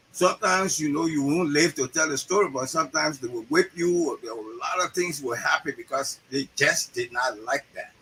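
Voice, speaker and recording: A man in his sixties with a Liberian accent, a playwright telling stories in an interview, animated and often repeating words. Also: fairly high pitch, a little hiss or hum, low-quality recording. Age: 60s. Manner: animated, repeats words, acts out quoted voices. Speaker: guest, playwright. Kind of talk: storytelling interview talk.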